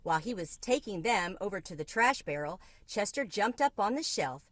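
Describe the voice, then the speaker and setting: A woman narrates a children's story with expressive, read-aloud delivery. Her voice sits at a middle pitch, and the recording is dry and close, with little room sound. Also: moderate pace, dry recording. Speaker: storybook reader.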